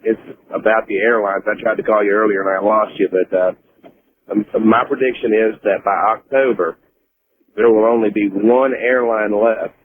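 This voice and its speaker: A man's voice: on the low side, fairly even intonation, moderate pace, slight background noise. An American man, a trucker, talking casually as a caller to a radio show. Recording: thin phone line on radio.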